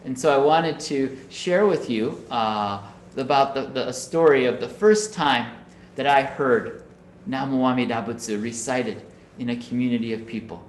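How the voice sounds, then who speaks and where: A man's voice: mid-range voice, moderate pace, roomy sound, a little hiss or hum. A man from Minnesota, a Buddhist minister, giving a conversational Dharma talk over a video call and often checking in with listeners. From Minnesota, USA. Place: home room on a video call.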